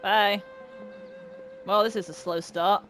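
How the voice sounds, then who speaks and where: A woman's voice: mid-range voice, fairly even intonation, takes it slowly, a bit bright, faint music behind. A woman giving casual, sweary, sarcastic let's play commentary, reacting as the game goes on. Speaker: gaming video creator.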